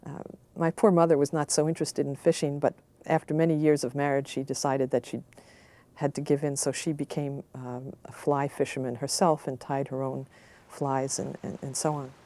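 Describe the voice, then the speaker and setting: A woman speaking reflectively and in a measured way, as in a personal reading or reminiscence. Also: a bass voice, flat delivery, warm tone. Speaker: poetry reader and research analyst. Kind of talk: personal reminiscence and poem recitation.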